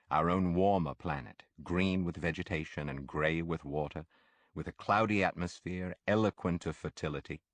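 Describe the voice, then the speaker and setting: A man reading a literary audiobook as a narrator, with measured, formal and deliberate phrasing. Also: sits low, no room sound.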